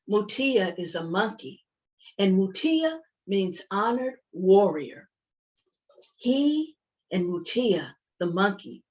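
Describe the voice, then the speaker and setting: Female children's storyteller, unhurried and expressive, mid-pitched, inviting young listeners to join in. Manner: expressive, deliberate, with acted sound effects and call-and-response. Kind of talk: interactive read-aloud storytelling for young children.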